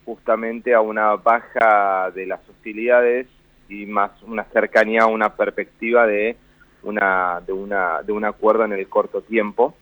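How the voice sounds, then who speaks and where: A man's voice: lowish voice, little pitch movement, normal speed, no echo. Buenos Aires man, rambling finance talk, muffled dry remote line. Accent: Rioplatense Spanish with a slight northern Argentine lilt. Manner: rambling, with frequent restarts and fillers. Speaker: finance commentator guest. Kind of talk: radio phone-in commentary.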